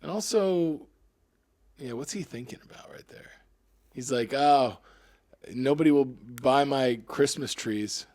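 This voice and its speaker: A man's voice: mid-range voice, measured pace, long pauses, darkish tone. A man from Florida co-hosting a comedy podcast, riffing with wry, playful banter. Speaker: comedian co-host. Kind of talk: casual podcast chat.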